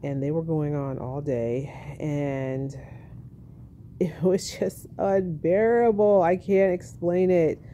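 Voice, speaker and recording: A young woman, a tired new mother, telling her birth story in a casual, monotone vlog at home, speaking softly with a baby on her chest. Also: slow pace, slight background noise. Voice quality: monotone, low-key.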